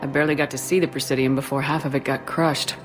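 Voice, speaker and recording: An actress playing a steady, direct human soldier in a video game, with restrained delivery. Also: female voice, rumbling low, fast, over music. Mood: calm, probing. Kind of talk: scripted game dialogue.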